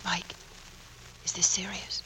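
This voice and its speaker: A woman's voice: on the low side, level tone, slow, pushed and bright, whispered, exaggerated voice. A woman playing a stubborn, devoted young Navy nurse in a 1940s radio drama, earnest and insistent. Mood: hushed, pleading. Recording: noisy 1940s radio broadcast recording.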